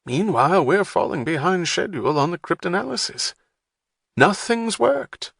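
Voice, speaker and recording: A man voicing a polite, wry male historian with a plummy English accent and a measured, professorial manner. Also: high voice, very animated, vocal fry, a bit bright, slightly rough, no room sound, clean studio sound, voice pushed up, heavily acted. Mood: genteel. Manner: measured, genteel, slightly professorial.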